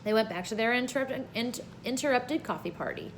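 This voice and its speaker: A woman's voice: a little high, expressive, a little fast, slight background noise. Woman reading a children's story aloud. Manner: informal, with brief asides to listeners.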